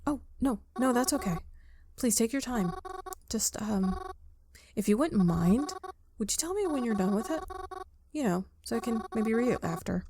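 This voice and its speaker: A woman's voice: a little high, lively intonation, normal speed, long pauses, background noise. A woman voicing a shy, bookish, easily flustered young woman in a visual novel game, hesitant and stammering. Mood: shy, eager. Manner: hesitant, soft-hearted, stammering.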